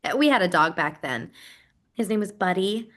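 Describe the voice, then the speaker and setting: A woman's voice: medium pitch, animated, moderate pace, fairly bright tone, natural voice. A woman playing a friendly, grateful college program associate, chatting enthusiastically in a first-person stage narrative. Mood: fond, nostalgic. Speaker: performer portraying a university program associate. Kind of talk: dramatized first-person interview narrative, conversational.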